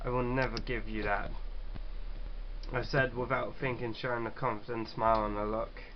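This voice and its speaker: A man's voice: mid-range voice, flat delivery, moderate pace, highs cut off, slight background noise. A man hosting a casual gaming read-aloud, jokey and sweary, stumbling a little as he reads. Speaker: gaming video host.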